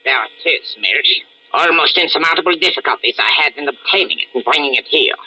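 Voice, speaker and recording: An actor playing a proud Nazi scientist in a radio drama, speaking in a formal, lecturing way. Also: male voice, fairly high pitch, expressive, fairly quick, pushed and bright, highs cut off, a little hiss or hum. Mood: grave, boastful. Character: elusive villainous Nazi scientist, proud and coldly certain. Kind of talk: old-time radio drama acting.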